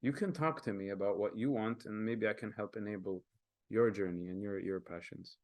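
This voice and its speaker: A young man with a somewhat low voice, a native Arabic speaker from Kenya, walks through his creative work in an earnest, casual manner. He stops and restarts often and fills gaps with ums. The sound is dry and close, as on a video call. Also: fairly quick, darkish tone, no room sound. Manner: earnest, with frequent fillers and restarts. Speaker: guest artist presenting his work. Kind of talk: casual presentation.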